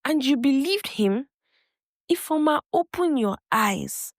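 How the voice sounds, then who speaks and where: A worried, protective brother , played by a woman narrator, speaks gravely and insistently in a storybook tale. The recording is very dry. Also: high voice, lively intonation, slow pace, dry recording, pitched above the performer's own voice, heavily acted. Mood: disbelieving. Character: worried, protective brother pleading with his sister.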